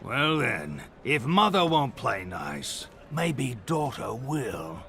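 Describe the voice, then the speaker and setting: An actor playing a taunting middle-aged mercenary villain in a video game, with a gravelly voice and a mocking drawl. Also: male voice, medium pitch, sing-song, slow, bright, forceful tone, heavily acted. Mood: taunting menace. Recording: music behind.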